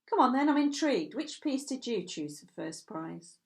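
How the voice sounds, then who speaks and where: A woman's voice: a little high, sing-song, moderate pace, gravelly, pitched above the performer's own voice. A woman voicing a curious, persuasive man who judges art, in a storybook reading. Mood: intrigued. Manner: questioning, persuasive.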